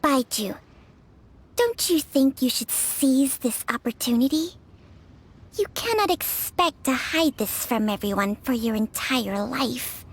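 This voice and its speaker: A woman's voice: high voice, expressive, slow, husky, heavily acted. A woman voicing a proud, ancient female snake spirit with a haughty, teasing manner, in video game dialogue. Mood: earnest. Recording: game audio with faint music.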